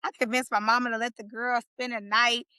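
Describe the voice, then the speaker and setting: Playful woman in her forties, podcast guest from Chicago, higher-pitched and smooth, dry close mic. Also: a little fast, dry recording. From Chicago, USA. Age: 40s. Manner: lively, playful, talks up her city with slang. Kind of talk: casual interview chat.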